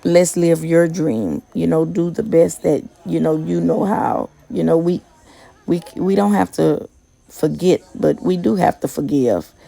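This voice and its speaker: A woman giving a casual motivational talk in a monotone, conversational way with many fillers. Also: low voice, unhurried, vocal fry, rough recording. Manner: monotone, self-described. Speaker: motivational vlogger and gig worker.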